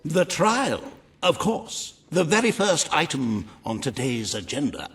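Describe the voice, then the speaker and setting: A man's voice: a little high, very animated, unhurried, a bit bright, heavily acted. An actor playing a fawning, pompous royal aide in a video game, flowery and pleased with his own wit. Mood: fawning, self-satisfied. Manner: obsequious, flowery, theatrical.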